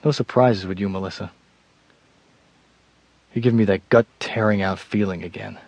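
An actor playing a troubled, self-deprecating husband in a radio drama, halting and stammering with a wry, evasive manner. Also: male voice, fairly low pitch, fairly even intonation, a little mellow, no echo. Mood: weary, wry. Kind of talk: naturalistic radio drama acting.

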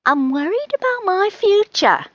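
A woman's voice: very high-pitched, big pitch swings, a little slow, fairly bright tone, dry recording, highs cut off, voice pushed up, full character voice. A woman voicing an anxious, eager male cat, the hesitant and earnest hero of a children's storybook. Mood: anxious, curious.

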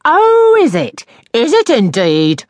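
A woman's voice: deep voice, big pitch swings, normal speed, dry recording. A woman voicing a menacing, scornful landlady with a taunting, threatening manner.

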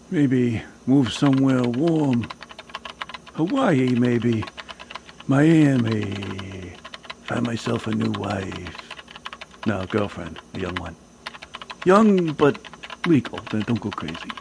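An actor playing a sleazy middle-aged husband in a radio drama, halting and self-absorbed. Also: male voice, medium pitch, gravelly, background noise.

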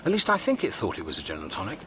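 An actor playing a breezy, cheerfully unhinged galactic hitchhiker in a radio comedy, whimsical and offhand. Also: male voice, sits low, wildly swooping pitch, racing, a bit bright, a little hiss or hum, full character voice. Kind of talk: radio comedy drama acting.

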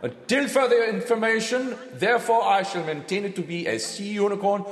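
Declamatory professor in an echoing hall. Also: male voice, high voice, fairly quick. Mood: insistent. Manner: declamatory, pompous, formal. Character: male professor proclaiming his sea-monster theory to assembly. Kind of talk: dramatized public lecture. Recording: echoing large hall reverb.

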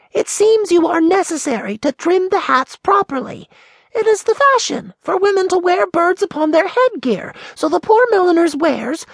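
A man reading aloud and voicing Popopo, a stern, earnest male sprite who guards birds, in a high put-on voice. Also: gravelly, dry recording, exaggerated voice.

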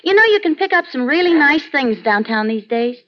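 A woman's voice: sits high, animated, fairly quick. A woman playing a cheerful, coaxing wife in a 1940s radio sitcom, laughing often. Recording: lo-fi old radio with studio audience and hiss. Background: studio audience laughter.

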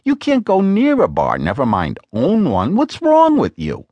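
A man voicing a sharp-tongued, exasperated woman who bosses her business partner, talking in a sarcastic, scolding way. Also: a little high, wildly swooping pitch, measured pace, no room sound, highs cut off, voice pushed up, full character voice.

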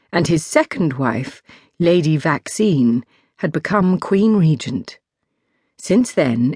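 A woman's voice: fairly low pitch, dry recording, studio quality. A woman reading a scripted story as an audiobook narrator.

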